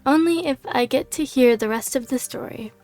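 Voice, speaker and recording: A woman's voice: high voice, moderate pace, darkish tone, soft background music, close to an everyday voice. A woman reading the lines of a friendly female tavern innkeeper in her own plain voice, slightly losing her voice. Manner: reads dialogue aloud in her own plain voice.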